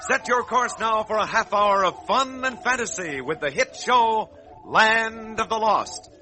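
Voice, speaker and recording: A man's voice: sits high, measured pace, over music. A man announcing and reading sponsor copy for children in 1940s radio style. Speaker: announcer and pitchman.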